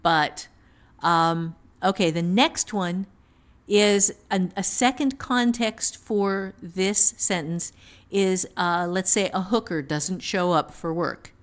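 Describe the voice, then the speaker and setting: A woman giving unscripted teaching talk on a podcast, thinking aloud in a halting manner. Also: fairly low pitch. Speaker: writing teacher, author.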